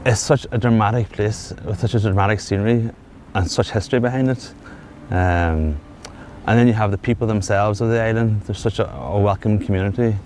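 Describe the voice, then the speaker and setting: A man who lives on a remote island, speaking in an interview with halting enthusiasm and restarts. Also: fairly low pitch, darkish tone, slight background noise. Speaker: island resident.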